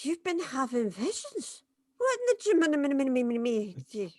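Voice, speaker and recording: A woman's voice: high voice, big pitch swings, takes it slowly, darkish tone, dry recording, studio quality, full character voice. A woman playing a tipsy, cantankerous older woman in a drama table read, blunt and indignant with muddled words. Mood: muddled, dry.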